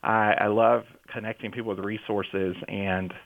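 A man in his forties with a Mississippi Southern accent, a children's pastor telling his story as a guest in a casual interview, halting with fillers and restarts. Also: lowish voice, level tone, vocal fry, no room sound. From Mississippi, USA. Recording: tinny phone-like line.

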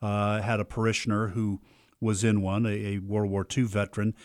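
Earnest low-voiced radio co-host. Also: male voice, flat delivery, a little fast, a little mellow, no echo, clean studio sound. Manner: earnest, stumbling restarts. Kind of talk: casual radio talk.

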